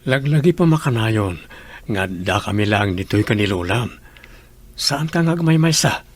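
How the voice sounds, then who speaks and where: A man playing a concerned father in a radio drama, who asks soft, worried questions. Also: mid-range voice, animated, normal speed, natural voice. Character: worried, gentle middle-aged father. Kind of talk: Ilocano radio drama acting.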